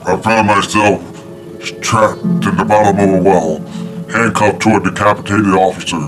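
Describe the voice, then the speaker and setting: An actor playing a troubled male agent in a game, recording a grave, measured log in short scripted entries. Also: fairly low pitch, fairly even intonation, over music, lightly acted. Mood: grim, subdued.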